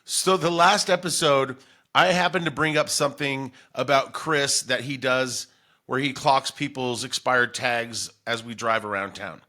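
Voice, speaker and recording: Bright-voiced American man, podcast host. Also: medium pitch, fairly even intonation, measured pace. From Denver, United States. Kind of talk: casual chat.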